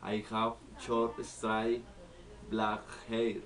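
A young man from Colombia in his twenties, speaking English with a Colombian Spanish accent as a learner and reciting a self-introduction word by word with pauses. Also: fairly low pitch, completely flat delivery, fairly bright tone, far from the mic. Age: 20s. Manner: halting, word-by-word delivery with pauses. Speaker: student. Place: small living room at home. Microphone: camera in front of the speaker.

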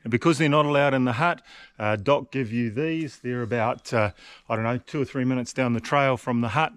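A man's voice: mid-range voice, brisk, fairly bright tone, smooth, dry recording. A man narrating a casual camping and hiking vlog to camera in a chatty, understated way, often adding little tag questions. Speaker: solo hiking vlogger.

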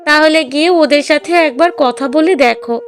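A woman's voice: high-pitched, brisk. A woman playing a middle-aged village mother in a cartoon drama, coaxing in a fretful, affectionate way. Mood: agreeable, inquisitive.

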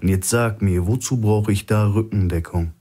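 A man's voice: fairly low pitch, normal speed, dark tone, natural voice. A man voicing a nervous, menacing male gunman in a thriller audiobook, curt, coarse and threatening. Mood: gruff, scornful. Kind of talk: audiobook character acting.

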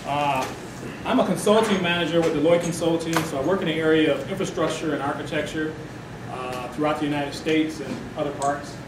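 A man from Detroit giving a loud, animated talk to a room, with no mic. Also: on the high side, a little fast. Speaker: guest speaker. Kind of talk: storytelling presentation. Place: dim lecture room. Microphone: far camera, no mic. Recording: echoey room from far off, hiss.

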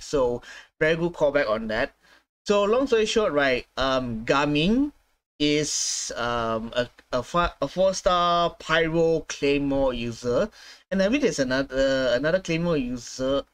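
A man in his twenties hosting a casual gaming reaction, halting and repeating himself as he searches for words. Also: a little high. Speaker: gaming reaction video host. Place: small room at home, indoors.

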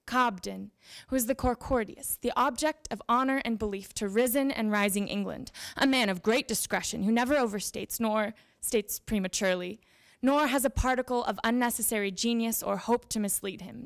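A young woman giving a dramatic reading of old letters at a lectern, with measured, deliberate phrasing. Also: on the high side, vocal fry. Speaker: reader at a literary event. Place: library event room with seated audience. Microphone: handheld microphone at a lectern.

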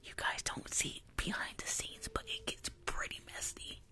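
A woman in her twenties chatting casually with viewers in a soft, breathy ASMR whisper close to a microphone, often repeating her phrases. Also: normal speed. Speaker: ASMR live streamer. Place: small indoor room. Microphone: desk microphone at close range.

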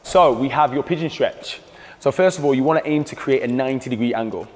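British man, fitness coach, steady coaching cues, reverberant hall, faint music behind. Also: mid-range voice, quick pace. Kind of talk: instructional workout voice-over.

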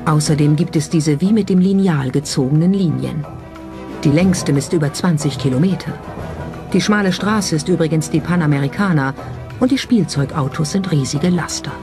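A documentary narrator with a middle-register voice delivers scripted narration. Music plays clearly underneath throughout. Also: medium pitch, normal speed. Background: background music.

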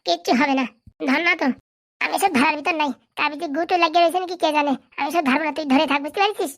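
Female voice actor as a scheming, fast-talking village boy for a comedy cartoon; very bright, pushy tone. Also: sits high. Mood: bossy. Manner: bossy, fast-talking, wheedling. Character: cocky scheming village boy, bossy hustler. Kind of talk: comic cartoon voice acting.

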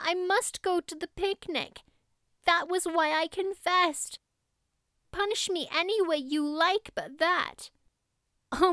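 A woman's voice: extremely high pitch, fairly bright tone, no echo, studio quality, voice pushed up. A woman voicing an imaginative, earnest young girl with a theatrical, pleading manner, reading from a storybook. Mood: frantic pleading.

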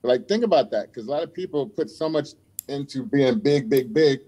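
A man's voice: medium pitch, lively intonation, normal speed, dark tone. A man in his fifties, a guest on a podcast, talking emphatically and repeating his points, heard over a video call from a room at home. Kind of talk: casual conversation. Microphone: video call webcam.

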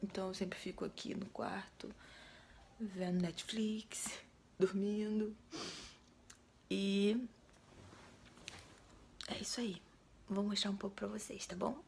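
A young Brazilian woman vlogging from her bedroom, her voice hoarse from a cold and rough with vocal fry. She talks in a relaxed, chatty way, drawing out words and slipping into a breathy half-whisper, close to a phone held at arm's length. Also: medium pitch, slow pace, long pauses, slight background noise. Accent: Brazilian Portuguese. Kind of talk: casual vlog chat to camera. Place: small bedroom indoors. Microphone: phone or webcam at arm's length.

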